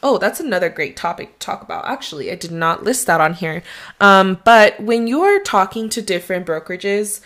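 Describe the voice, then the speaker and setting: A woman's voice: mid-range voice, sing-song, moderate pace, a bit bright. Chatty woman with a slight Mexican accent. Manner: chatty, rambling, conversational. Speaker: real estate agent and podcast host. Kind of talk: casual solo podcast advice.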